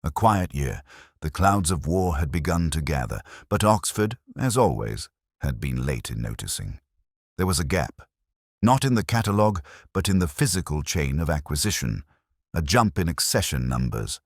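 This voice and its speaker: A man with an extremely deep voice narrates a literary detective story. His delivery is measured and brooding, built from deliberate short phrases with expressive swings in pitch. The sound is close and dry. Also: no room sound. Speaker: audio drama narrator.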